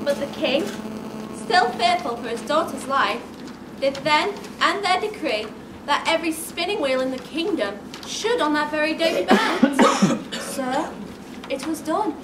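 A woman's voice: high-pitched, expressive, a little slow, long pauses. A woman playing an eager sergeant who narrates a stage pantomime to children in a playful, announcer-like way. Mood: mock solemn. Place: theatre stage, filmed from the audience. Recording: noisy, echoey theatre recording.

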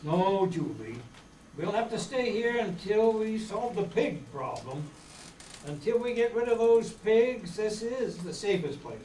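A high-pitched, practical leader of young castaways, acted out by a man in an old-time radio adventure. He takes charge as he reads from a script. The sound is roomy and distant, with faint noise. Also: big pitch swings, unhurried, dark tone. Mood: resolute. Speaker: amateur actor in a live radio-play reading. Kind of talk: 1930s children's radio adventure acting, read from script. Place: meeting room stage before seated audience. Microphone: far camera.

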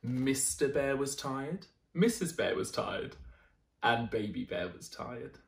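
A young man reading a bedtime picture book to children in an expressive, deliberate way. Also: medium pitch, vocal fry, far from the mic. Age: young adult. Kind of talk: animated children's story reading. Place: small indoor room, seated. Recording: roomy indoor echo.

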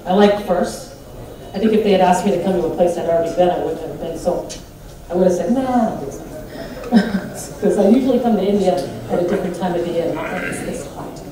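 A woman's voice: lowish voice, fairly quick, distant mic, a crowd in the background. Big-voiced American woman in an echoey hall. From United States. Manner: big, animated, joking delivery. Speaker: conference speaker. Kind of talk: lively conference talk. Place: large hotel conference hall.

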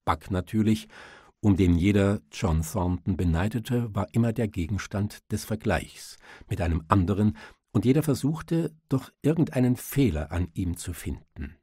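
Husky, mellow male audiobook narrator. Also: lowish voice, lively intonation, moderate pace, no room sound, clean studio sound. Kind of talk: scripted literary narration.

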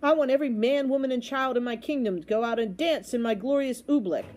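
A woman's voice: on the high side, lively intonation, normal speed, mellow. A woman voicing a gleeful, impatient king who gives commands in an exclamatory storybook manner.

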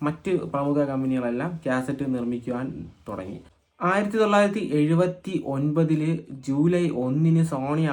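Man in an informal explainer vlog. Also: a little high. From Kerala, India. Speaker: video host and cassette collector.

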